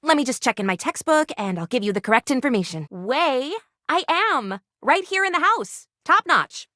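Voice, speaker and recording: An earnest little first aid kit doctor from a video game, with a high female voice that swoops up and down. She is dramatic and anxious, rambles in fussy formal phrases, and sounds close and dry. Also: very animated, normal speed, sharp tone, no room sound, full character voice. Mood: bright, eager. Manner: theatrical, rambling, fussily formal. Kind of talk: animated video game character voice acting.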